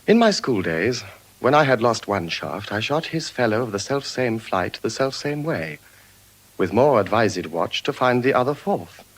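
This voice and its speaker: Ardent young gentleman, sweeping expressive delivery. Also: lowish voice, poor audio. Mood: persuasive. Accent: British. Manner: eloquent, measured verse delivery with expressive swells. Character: ardent young Venetian gentleman, spendthrift and persuasive suitor. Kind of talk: classical British stage acting of Shakespeare.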